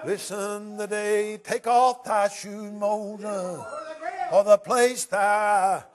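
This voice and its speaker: Male revival preacher, high-pitched, fervent and rhythmic, half-chanting in short bursts with repeated interjections, faint music behind. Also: steady tone, very slow. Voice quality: high-pitched voice rising into loud cries. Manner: rhythmic, punctuated by repeated gasped filler syllables and calls to the brethren. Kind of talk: impassioned extemporaneous revival sermon, half-chanted.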